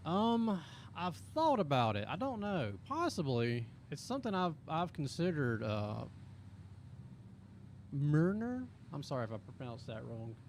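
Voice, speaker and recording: A man's voice: fairly high pitch, very animated, measured pace, a little hiss or hum. A man, rambling casually and chuckling as he streams a game and answers chat questions. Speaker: streamer and video creator. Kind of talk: casual live-stream chat while playing.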